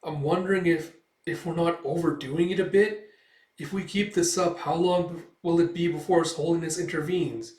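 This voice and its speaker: A man's voice: on the high side, fairly even intonation, moderate pace, a little mellow, voice pushed up, close to an everyday voice. A man voicing a baron's nervous adviser who speaks hesitantly, recorded in a roomy space from a distant mic. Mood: worried.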